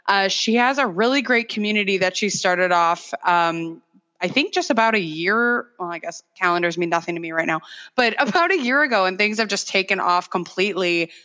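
A woman's voice: medium pitch, a little fast, sharp tone. A woman co-hosting a casual podcast, chatty and loose, with many fillers and restarts. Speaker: podcast co-host.

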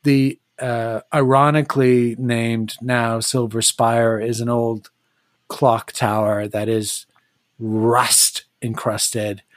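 Game master for a tabletop campaign: descriptive, slow-paced, searching for words, recorded dry. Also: medium pitch, flat delivery, no echo. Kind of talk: narrating a tabletop role-playing game.

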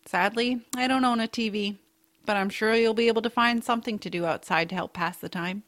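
A woman playing a kindly, whimsical aunt in a family audio drama, speaking with gentle earnestness. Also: medium pitch, fairly quick, close to an everyday voice. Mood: warm, encouraging. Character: kindly, whimsical aunt, bookish home cook.

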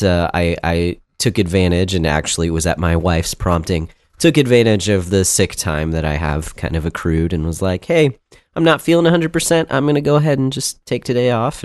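A man's voice: low voice, expressive, normal speed, smooth, no echo, studio quality. A man with a cold and a nasal voice, co-hosting a casual podcast chat and rambling with lots of fillers and restarts. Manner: rambling, self-deprecating, many fillers and restarts. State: has a cold. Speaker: podcast co-host.

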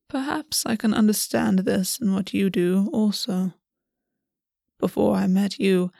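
Woman doing a slow, quiet brooding prince, mellow and dark, dry close sound. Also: medium pitch, vocal fry, no room sound. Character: brooding male prince, cold and measured.

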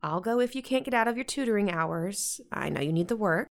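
A stressed American mother in middle age, acted by a woman for a modern audio drama. She has a mid-pitched voice, firm and stern yet plainly loving. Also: animated, a little fast, gravelly. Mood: gentle, persuading.

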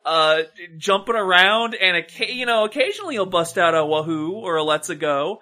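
A podcast co-host chatting casually about films in an animated, opinionated way, with some swearing. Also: medium pitch, moderate pace. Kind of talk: casual film discussion chat.

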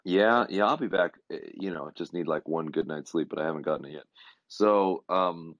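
A man with a hoarse, extremely low voice, chatting loosely as a podcast co-host. He stumbles through his sentences with plenty of fillers, and the recording is dry and close. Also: a bit bright, no room sound, highs cut off. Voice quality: hoarse, halting with many fillers. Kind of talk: casual chat.

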